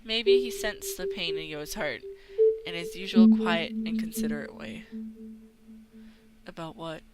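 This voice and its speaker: A woman's voice: medium pitch, normal speed, very noisy. A woman reading a visual novel script aloud as a let's player, sometimes stumbling over her words. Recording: music playing behind the voice. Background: game music.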